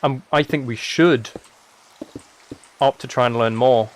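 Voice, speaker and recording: A young British man chatting casually as he streams a game, rambling and thinking out loud as he goes. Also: medium pitch, a little slow, a little hiss or hum. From United Kingdom. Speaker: live streamer.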